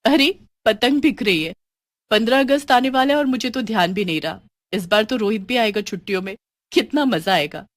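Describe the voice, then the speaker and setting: A woman's voice: a little high, fairly quick, no echo, natural voice. Woman playing an earnest, kind young woman. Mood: excited, wondering. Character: kind, hard-working young woman, devoted to her family. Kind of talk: dramatised storybook dialogue.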